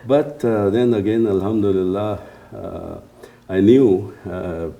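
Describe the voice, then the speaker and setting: An elderly man from India, a native Urdu speaker, recounting his life in a halting, meandering way as an interview guest. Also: on the low side, creaky, warm tone, lo-fi sound. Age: 70s or older. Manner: halting, meandering storytelling with hesitant pauses. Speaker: interview guest, retired police officer. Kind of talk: casual reminiscing interview.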